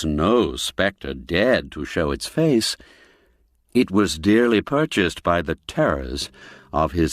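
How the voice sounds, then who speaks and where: A man's voice: low-pitched, no echo, studio quality. A man narrating a classic radio drama, telling it expressively and rising and falling with the suspense.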